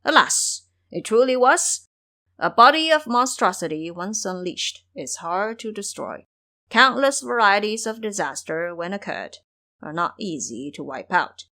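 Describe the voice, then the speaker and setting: A woman's voice: mid-range voice, expressive, unhurried, bright, forceful tone. A woman narrating a fantasy audio drama as a scripted, dramatic storyteller.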